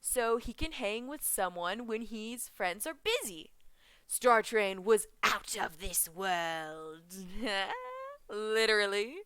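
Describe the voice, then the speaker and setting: A young woman chatting in an excited fan reaction vlog, giggling, making puns and drifting off track. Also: mid-range voice, takes it slowly, clean tone, no room sound. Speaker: vlogger reviewing a cartoon episode.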